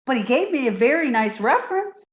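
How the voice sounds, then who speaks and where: Scatterbrained young secretary played by a woman, very high and lively, naive and literal, 1950s radio comedy style, roomy recording. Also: fairly quick. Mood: naive, earnest. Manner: guileless, earnestly literal.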